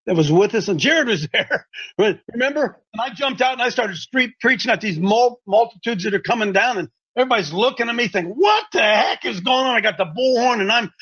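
A man's voice: sits high, fairly bright tone, lo-fi sound. A man hosting a religious talk show, preaching emphatically and repeating his points. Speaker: host and street preacher.

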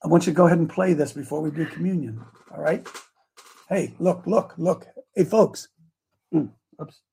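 An older man hosting a morning talk show, with a gravelly, hoarse voice, folksy and emphatic, repeating his phrases as he preaches. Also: on the high side, moderate pace, dark tone, low-quality recording. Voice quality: gravelly, raspy voice. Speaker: host.

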